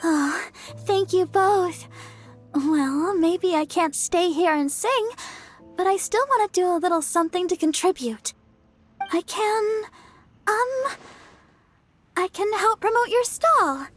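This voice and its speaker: An actress playing a sweet, earnest young girl in a video game, gentle and a little bashful. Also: very high voice, animated, slow pace, faint music behind, full character voice. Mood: earnest, thoughtful. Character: sweet, earnest young church idol girl, modest and helpful. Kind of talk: video game cutscene acting.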